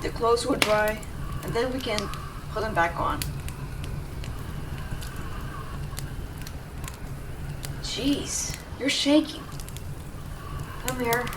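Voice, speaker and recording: Distant, noisy recording of a woman acting a gruff, devoted young woman. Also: fairly high pitch, animated, slow. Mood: worried, coaxing. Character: young tsundere woman, gruff but devoted, rescuing injured lover. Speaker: voice actor in audio roleplay. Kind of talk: intimate dramatic audio roleplay. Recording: distant mic, clear background noise ambience.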